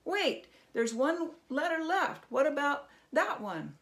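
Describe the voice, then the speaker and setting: A woman's voice: sits high, very animated, takes it slowly, a bit bright, pitched above the performer's own voice, full character voice. A woman voicing a greedy, grumpy red goblin with horns, as a storybook character. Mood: eager, greedy.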